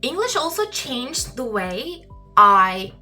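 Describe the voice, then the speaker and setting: A young woman, hosting a solo podcast and talking thoughtfully with frequent pauses. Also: a little high, big pitch swings, sharp tone, faint music behind. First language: Turkish. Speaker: podcast host.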